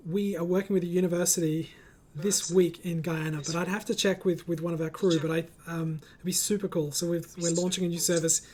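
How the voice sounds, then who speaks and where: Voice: mid-range voice, little pitch movement, brisk, hardly any pauses, a little mellow. A host of a casual online group chat, reading out comments and speaking in an encouraging, reassuring way over a voice call.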